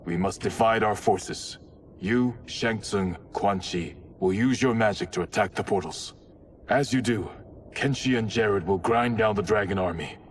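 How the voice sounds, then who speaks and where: An actor playing a stern warrior leader in a video game cutscene, blunt and commanding. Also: male voice, fairly low pitch, unhurried, recorded from a distance, slight background noise, lightly acted.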